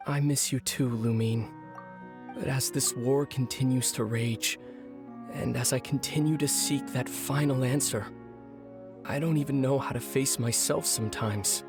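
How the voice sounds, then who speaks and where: A male actor plays a weary young twin brother in a fantasy game. He speaks softly and gravely at a middle pitch, with measured phrasing. Light music plays behind him. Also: steady tone, lightly acted. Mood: wistful. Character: solemn young man, weary long-lost twin brother. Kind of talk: scripted game voice acting. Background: background music.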